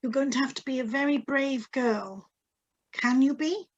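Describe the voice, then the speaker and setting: A woman's voice: high-pitched, moderate pace, highs cut off. A woman playing a kindly, careful hospital nurse in a radio drama read over a video call. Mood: careful, sympathetic. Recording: live video call reading.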